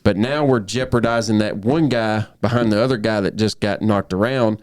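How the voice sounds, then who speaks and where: Man, 30s, low voice, earnest, storytelling, restarts sentences, podcast guest. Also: normal speed, darkish tone, no room sound, studio quality. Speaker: professional bullfighter guest. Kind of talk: casual podcast conversation.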